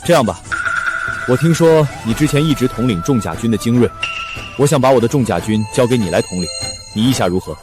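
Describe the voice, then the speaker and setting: An actor playing a dignified royal prince and army commander in a Chinese costume drama. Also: male voice, mid-range voice, lively intonation, fairly quick, lightly acted. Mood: calm, courteous. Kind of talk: costume short-drama acting. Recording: loud background music over dialogue.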